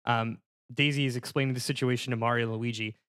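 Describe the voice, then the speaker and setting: A man on a casual panel show pitching a comedic movie scene by scene, in a halting, mock-formal narrating manner. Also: fairly even intonation, a bit bright, smooth, dry recording, studio quality.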